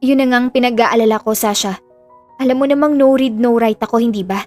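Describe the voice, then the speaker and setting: A woman's voice: a little high, fairly quick, darkish tone, close to an everyday voice. A woman playing an earnest, burdened young woman in an audio drama, confiding in a subdued way with a Filipino accent. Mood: pleading, grateful. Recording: music under the voice.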